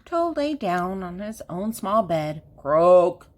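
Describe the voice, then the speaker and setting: A woman's voice: medium pitch, sing-song, slow, slight background noise. An older woman reading a children's picture book aloud, speaking simply and directly to young listeners. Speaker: children's storybook reader.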